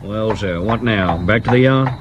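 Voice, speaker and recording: A man's voice: on the low side, moderate pace, a little hiss or hum, lightly acted. A British man playing a respectful, matter-of-fact Victorian police sergeant in a 1970s radio drama. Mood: practical, doubtful.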